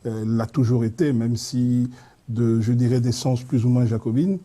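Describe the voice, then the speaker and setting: A man speaking as a studio debate guest. His voice is very dark and husky, and he builds long, winding arguments with frequent pauses. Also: medium pitch, fairly even intonation. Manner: measured, long winding sentences with hesitations.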